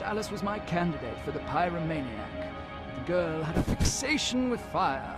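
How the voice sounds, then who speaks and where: A peevish Victorian gentleman holds forth in a period game. He has an English accent and a high, fussy voice. He sounds pompous and exasperated over loud music.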